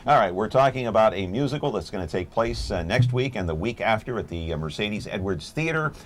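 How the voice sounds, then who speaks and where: A man's voice: lowish voice, lively intonation, brisk, slight background noise. A man hosting a local TV talk show, joking and teasing his guests in the studio. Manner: teasing, jokey. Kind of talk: casual studio chat.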